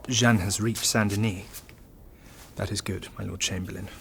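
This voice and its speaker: A man playing a calculating, patient French king in a historical audio drama, with a measured, condescending manner. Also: lowish voice, steady tone, vocal fry, slight background noise.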